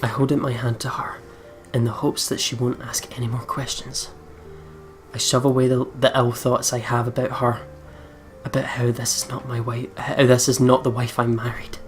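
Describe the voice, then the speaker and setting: Male let's player, medium pitch, dramatic read-aloud narration, hushed phrase endings, light background music. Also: little pitch movement, moderate pace. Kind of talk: reading visual novel narration aloud.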